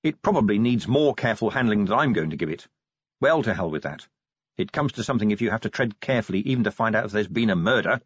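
A man playing a gruff, weary middle-aged watch commander who speaks bluntly and grumbles. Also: lowish voice, lively intonation, quick pace, dry recording. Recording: dry, slightly dull recording.

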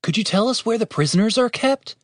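A man's voice: high-pitched, lively intonation, brisk, no echo, clean studio sound, voice pushed up. A man voicing an earnest, incredulous young male adventurer in an audiobook, with a polite, questioning manner. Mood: polite, firm.

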